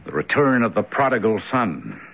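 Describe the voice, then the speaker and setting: An actor playing a brisk, skeptical man in an old-time radio mystery drama. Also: on the low side, huge pitch swings, vocal fry, breathy edge, exaggerated voice. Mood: questioning. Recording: dull lo-fi old radio recording.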